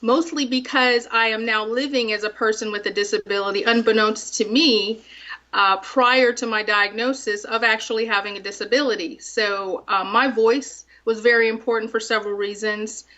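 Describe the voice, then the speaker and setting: Bright woman guest, dull lo-fi sound. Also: fairly high pitch, fairly even intonation, moderate pace. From South Florida, multi-ethnic Caribbean family. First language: French. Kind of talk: conversational interview answers.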